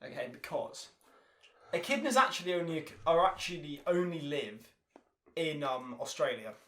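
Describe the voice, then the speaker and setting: A man's voice: fairly high pitch, expressive, moderate pace, a bit bright. A man in casual podcast banter, explaining things in a pedantic, mock-scientific way. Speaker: podcast guest. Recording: noisy, echoey room.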